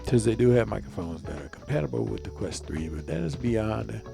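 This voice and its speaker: A man's voice: fairly low pitch, sing-song, a little fast, creaky, dark tone, soft background music. A man giving a casual step-by-step tutorial as a video creator, rambling and often repeating himself.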